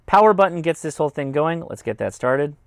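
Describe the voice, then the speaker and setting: A man's voice: fairly high pitch, lively intonation, fairly quick, vocal fry, clear, resonant tone, dry recording, studio quality. An American man in his thirties giving a casual, explanatory product review and demonstration. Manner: informal, explanatory. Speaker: host, radio reviewer. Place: indoors, home radio studio and workbench.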